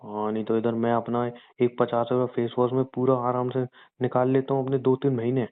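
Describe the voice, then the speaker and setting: A young man's mid-pitched voice comes through a thin, phone-like line, teasing and sarcastic in an easy-going way. He keeps a running tally out loud as he jokes. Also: monotone, fairly quick, clean tone. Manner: teasing, sarcastic, totting up sums. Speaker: boyfriend on a phone call. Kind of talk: teasing scripted phone banter. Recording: phone call recording.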